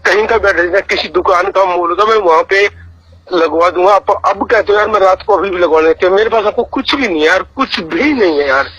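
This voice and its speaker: A man with a high, sharp voice pleads in a private phone argument. He sounds tearful and distressed, repeating himself and swearing oaths. It is a recorded phone call. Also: fairly quick, breathy edge. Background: phone call audio.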